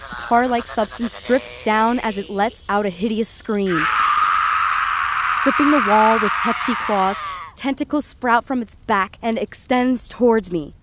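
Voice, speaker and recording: A woman's voice: a little high, background noise. A woman playing a frightened, tripping young woman who narrates a supernatural night in the first person, tense and dramatic, in an audio horror drama. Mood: tense dread.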